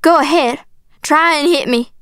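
A woman's voice: high-pitched, moderate pace, no room sound, studio quality, pitched above the performer's own voice, natural voice. A woman voicing a stubborn, wounded young Southern girl in an audiobook, with an emotional delivery. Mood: defiant. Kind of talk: audiobook character acting.

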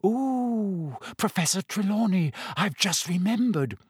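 A man voicing a gushing, excitable teenage schoolgirl who talks in an eager, fawning way. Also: high-pitched, slow pace, breathy edge, no room sound, studio quality, pitched above the performer's own voice, heavily acted.

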